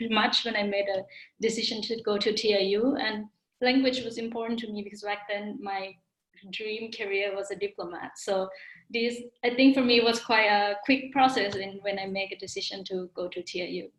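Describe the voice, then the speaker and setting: A woman's voice: medium pitch, steady tone, moderate pace, echoey room, low-quality recording. A woman speaking as an alumna on a webinar panel over a video call, friendly and loose with many fillers.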